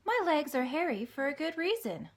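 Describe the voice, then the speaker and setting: A woman's voice: sits high, sing-song, normal speed, voice pushed up. A woman voicing a wise, patient female spider in a storybook, speaking in a precise, instructive and encouraging way. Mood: matter-of-fact.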